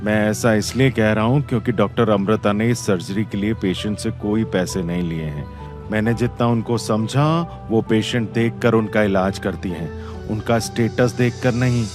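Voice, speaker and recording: An actor as a calm, low-voiced doctor. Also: male voice, natural voice. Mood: calm, explaining. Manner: measured, explanatory. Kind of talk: Hindi audio drama acting. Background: music.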